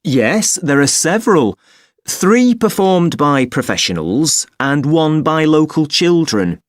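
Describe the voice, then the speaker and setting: Male actor as patient festival information clerk, polite, unhurried delivery, very dry studio sound. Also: on the high side, big pitch swings, no room sound. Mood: helpful, polite. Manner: measured, helpful, spells words out slowly. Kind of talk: acted listening-test dialogue, clearly enunciated.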